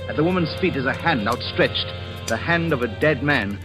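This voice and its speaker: A man's voice: medium pitch, expressive, moderate pace, close to an everyday voice. Cruel husband, male actor, suave and sardonic, mid-range voice, golden-age radio thriller, music underneath. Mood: cold, menacing. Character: cruel, menacing, controlling husband, coldly mocking his wife. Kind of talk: 1940s radio suspense acting. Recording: old radio broadcast with music behind.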